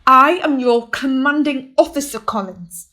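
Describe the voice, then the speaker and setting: A woman's voice: high voice, a little slow, sharp tone. A woman playing a stern, commanding ship captain who also narrates diary entries in a radio play.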